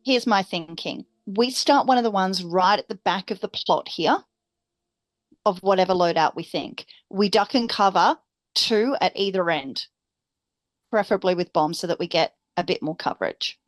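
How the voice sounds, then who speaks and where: A woman's voice: medium pitch, moderate pace, dry recording. A woman talking strategy casually and decisively as a player in a tabletop game.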